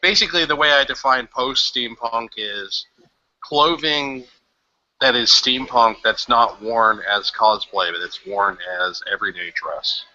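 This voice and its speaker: A man with a deep voice, chatting in a low-key, modest way as a guest on a muffled lo-fi recording. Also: measured pace, pushed and bright, no room sound. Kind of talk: casual web show chat.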